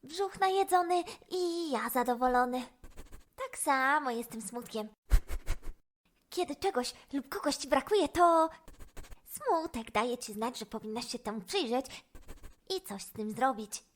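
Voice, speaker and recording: A woman's voice: high-pitched, expressive, a little slow. A woman voicing a cheerful little mouse detective in a children's audio story, explaining things simply and chirpily. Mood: cheerful, reassuring. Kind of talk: children's audio fairy tale acting.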